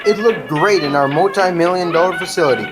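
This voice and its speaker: A woman's voice: fairly low pitch, a little fast, over music. A teenage young woman, a student presenter, reading a scripted fundraising announcement.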